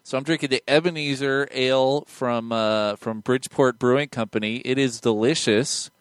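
A man's voice: medium pitch, steady tone, moderate pace, clean tone, no echo. A young man hosting a casual podcast, joking and laughing often with his guests. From United States. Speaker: podcast host.

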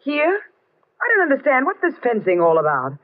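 An actress playing a haughty, high-strung, indignant stage rival in a 1950s radio melodrama. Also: female voice, huge pitch swings, moderate pace, phone-quality sound. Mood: alarmed, indignant. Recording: band-limited old 1950s radio recording.

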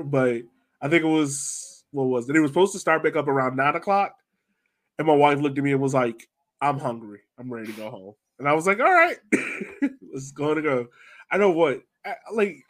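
A man with a fairly high voice hosts a relaxed sports podcast. He talks informally and colloquially, leaning on his points with emphasis. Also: fairly quick. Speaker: podcast host. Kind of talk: casual sports podcast chat.

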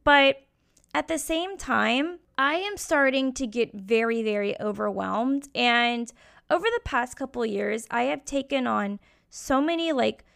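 A woman's voice: on the high side, creaky, fairly bright tone. A young woman hosting her own podcast, talking candidly in a casual solo monologue full of "like" fillers. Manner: candid, frank, frequent "like" fillers. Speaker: podcast host.

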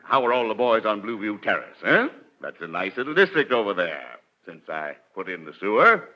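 An actor playing a middle-aged, glad-handing, scheming mayor with an American accent and pompous, wheedling patter, in 1940s radio comedy style. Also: male voice, lowish voice, very animated, moderate pace, slight background noise. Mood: unctuous, glad-handing. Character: glad-handing, scheming small-town mayor, unctuous and blustery. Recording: muffled vintage 1940s radio recording.

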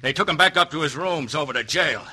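A hot-headed gang henchman in an old radio western. He has a Western American accent and a slightly high voice. The vintage recording is clearly noisy. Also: fast talker, bright, forceful tone. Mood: reporting, eager. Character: Harry, hot-headed male outlaw henchman.

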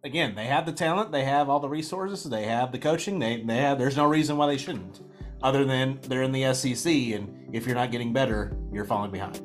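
A man with a mid-pitched, everyday voice co-hosts a sports podcast. He talks in a loose, rambling way, restarting his sentences often. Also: a little fast, soft background music. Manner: rambling, many restarts and fillers. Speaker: podcast co-host.

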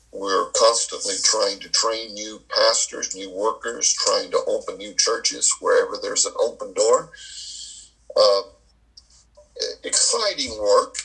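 A man's voice: lowish voice, gravelly, sharp tone, poor audio. A man in his sixties, a missionary guest, speaking casually and repeating key phrases for emphasis. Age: 60s. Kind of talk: casual interview talk.

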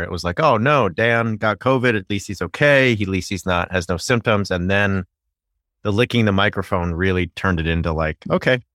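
A middle-aged man hosts a casual podcast, his voice sitting on the low side. He chats in a friendly, teasing way, padding his talk with hesitant fillers. The recording is dry and close. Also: fairly bright tone, no echo. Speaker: podcast host.

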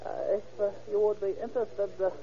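A man playing a small, nervous, evasive go-between in a radio crime drama, speaking halting broken English. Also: high-pitched, level tone, warm tone, close to an everyday voice. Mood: nervous, secretive. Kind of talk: old-time radio crime drama acting. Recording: muffled vintage radio broadcast.

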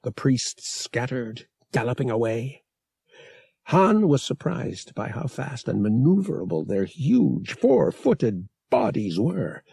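A man reading an adventure novel as an audiobook narrator, in a measured, deliberate and dramatic storytelling style. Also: mid-range voice, very animated, vocal fry, warm tone, breathy edge, no room sound.

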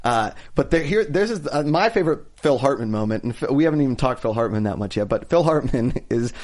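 A man's voice: mid-range voice, expressive, fairly quick, darkish tone. A man hosting a casual podcast chat, talkative and laughing often. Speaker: podcast host.

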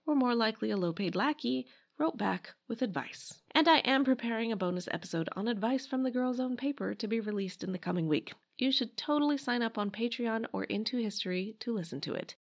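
A woman's voice: on the high side, vocal fry. A woman hosting a podcast, reading scripted history narration in a wry, emphatic way. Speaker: podcast host.

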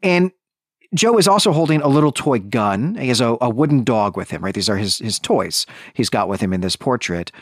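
A man's voice: medium pitch, expressive, a little fast, slightly rough, dry recording, studio quality. A man co-hosting a literary podcast, talking and reading in an earnest, reflective, bookish way. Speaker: podcast co-host. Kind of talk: literary discussion with passages read aloud.

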